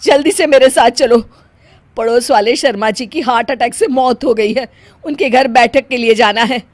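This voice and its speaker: A woman's voice: fairly high pitch, normal speed, no room sound, voice pushed up, natural voice. A woman voicing a stern, bossy mother-in-law who scolds her daughter-in-law. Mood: scolding.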